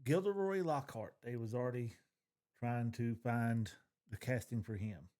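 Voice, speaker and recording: A man's voice: medium pitch, measured pace, a little mellow. A man chatting casually as a podcast co-host, opinionated and informal, with the odd swear word. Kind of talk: casual fan discussion.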